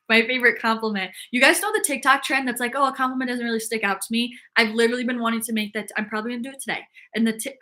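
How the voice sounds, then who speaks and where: A woman's voice: a little high, bright, forceful tone. A young woman hosting a casual fitness livestream, chatting upbeat and reading viewer comments aloud, with lots of "like" fillers.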